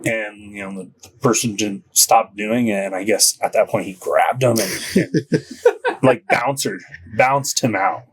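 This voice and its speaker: A man's voice: on the low side, a little slow. A man from Southern California, a podcast guest telling stories in a casual, rambling way, full of filler likes. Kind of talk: casual storytelling.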